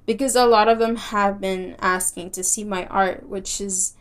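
A woman's voice: mid-range voice, fairly even intonation, measured pace. Young woman, light Texas twang, solo podcast host; rambles, laughs often, lots of ums and restarts. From Texas, United States. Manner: rambling, giggly, many fillers and restarts. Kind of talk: casual solo podcast chat.

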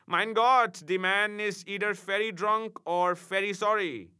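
Guy doing a cranky german-accented angel, way higher than his own voice, broken english, bossy. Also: sing-song, takes it slowly, pushed and bright, exaggerated voice. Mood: soothing. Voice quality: thick broken English, rolling mock-formal phrasing. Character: pompous tipsy male angel, quarrelsome, heavy-handed.

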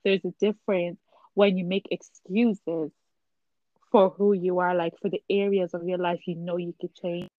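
A woman's voice: lowish voice, level tone, moderate pace, no echo, narrow, tinny audio. A woman chatting candidly in a casual conversation, livening up as she tells anecdotes.

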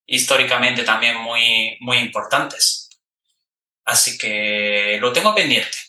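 A man hosting a casual interview, speaking in a relaxed, thoughtful way with frequent hesitations. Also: mid-range voice, takes it slowly. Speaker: podcast host interviewer. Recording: echoey room, distant mic.

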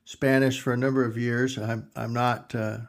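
A man's voice: medium pitch, little pitch movement, moderate pace. A man co-hosting a podcast in casual chat, joking and rambling, often laughing between lines. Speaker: podcast co-host.

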